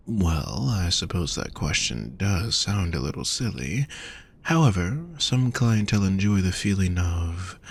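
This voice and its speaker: A man playing a gentle, teasing male demon companion in a roleplay audio, speaking courteously with genteel endearments to the listener. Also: low voice, husky, full character voice. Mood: courteous, inviting.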